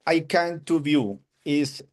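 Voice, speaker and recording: Spanish-speaking man with a Colombian accent, slow halting broken English on a video call. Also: a little high, animated. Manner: hesitant broken English, searching for words. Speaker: webinar attendee giving a testimonial.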